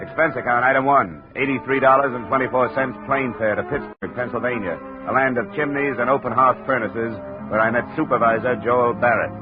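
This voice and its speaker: An actor playing a wisecracking hardboiled insurance investigator who also narrates the story, in the manner of a 1950s radio drama. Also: male voice, mid-range voice, fairly even intonation, brisk, hardly any pauses, music in the background, close to an everyday voice. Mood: dry. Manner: dry, wry, matter-of-fact. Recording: phone-like old radio broadcast.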